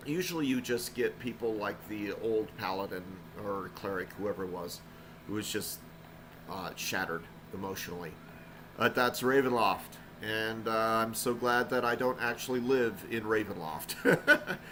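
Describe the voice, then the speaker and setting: A man talks loosely over his game stream, drifting from thought to thought with plenty of fillers. His voice is bright and forward on a desk mic. A faint hum sits underneath. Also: fairly low pitch, measured pace, low-quality recording. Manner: rambling, relaxed, frequent "uh" fillers. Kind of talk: casual live-stream commentary. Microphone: desk microphone.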